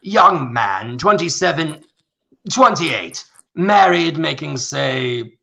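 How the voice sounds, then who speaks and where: A man acting as a rich, condescending, scheming old tycoon in a radio play, with a gravelly, theatrical delivery. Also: a little high, expressive, slow, sharp tone, exaggerated voice. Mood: smug, persuasive.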